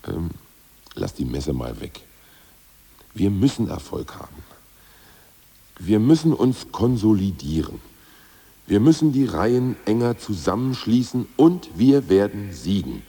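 An actor playing a blunt, coarse ousted party official in a radio drama, with a gravelly, husky voice. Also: male voice, on the low side, sing-song, measured pace, pauses often, dark tone, heavily acted. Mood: scheming. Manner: blunt, coarse, self-assured bluster. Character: ousted party boss, gruff cynical man. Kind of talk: 1980s radio drama acting.

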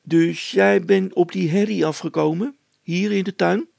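A man's voice: sits high, steady tone, unhurried, creaky, darkish tone, no echo, voice pushed up, exaggerated voice. A man voicing a cocky young poacher boy in a story, cheeky, stubborn and boastful, with colloquial speech. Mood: defiant.

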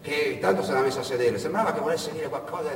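Theatrical male storyteller in echoey hall. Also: fairly high pitch. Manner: dramatic, emphatic, with pauses. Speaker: stage actor and storyteller. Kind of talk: theatrical narrative monologue. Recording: echoey, noisy hall, far from mic.

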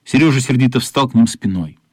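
A man's voice: mid-range voice, fairly quick, husky, no room sound, clean studio sound. A man reading literary narration as the storyteller of a children's radio play.

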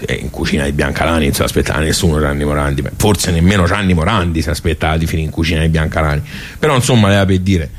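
An actor playing a chatty, nostalgic village social club regular with a Tuscan accent, telling stories in a rambling, folksy radio theatre monologue. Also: male voice, very deep voice, very fast. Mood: nostalgic, wry.

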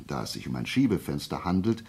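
Methodical radio-play sleuth. Deep, gravelly, animated, lecturing with deliberate emphasis and total confidence. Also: male voice, heavily acted. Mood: assured, analytical. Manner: deliberate, emphatic, didactic explaining. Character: methodical, self-assured male amateur detective reasoning aloud.

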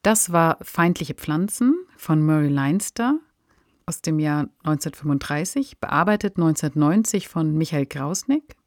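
A woman's voice: low voice, expressive, moderate pace, a little mellow, no room sound, studio quality. A woman hosting a podcast in an articulate, informal presenting manner. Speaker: podcast host. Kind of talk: scripted credits and conversational discussion.

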